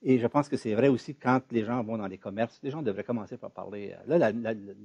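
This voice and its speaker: A man from Ontario, moderating an academic discussion in an emphatic, animated way. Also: lowish voice, fast talker, gravelly, breathy edge, no room sound, highs cut off. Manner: emphatic, animated, rising loud on key points.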